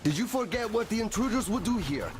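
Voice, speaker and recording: A man's voice: high voice, expressive, fast talker, background noise. An actor playing a boastful young male pirate gang member who jeers and taunts, in a game scene. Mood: scornful. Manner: jeering, taunting.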